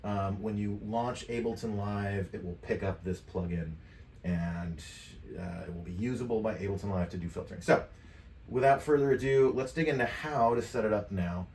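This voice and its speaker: A middle-aged man giving a casual step-by-step software tutorial, thinking aloud as he works. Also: lowish voice, moderate pace. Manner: informal, thinking aloud while clicking. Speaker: tutorial presenter. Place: indoors, home studio room at a computer desk. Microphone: USB microphone at some distance from the mouth. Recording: echoey room with clear background noise.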